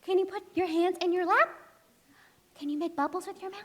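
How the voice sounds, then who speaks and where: A woman's voice: very high voice, fairly quick. A woman narrating a children's puppet show live, expressively, speaking directly to young children. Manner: expressive, addresses young children with simple questions. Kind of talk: children's storytelling to a live audience. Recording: echoey hall, live show.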